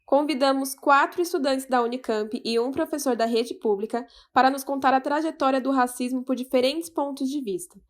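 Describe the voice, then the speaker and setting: Apresentadora de voz aguda e animada. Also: female voice, sits high, fairly quick, few pauses. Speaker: channel host. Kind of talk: video intro.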